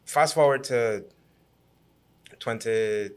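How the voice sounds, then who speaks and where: A man from Guyana in his thirties, a podcast guest telling his life story in a lively, halting way with many restarts. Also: mid-range voice, clear, resonant tone. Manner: animated storyteller with frequent restarts and repeated words. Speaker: guest, businessman and city councillor. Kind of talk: conversational podcast interview.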